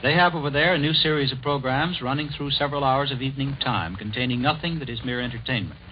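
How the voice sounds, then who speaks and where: An American-accented man giving a scripted 1940s radio talk in a formal, deliberate way. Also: medium pitch. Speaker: radio commentator. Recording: dull, noisy 1940s radio recording.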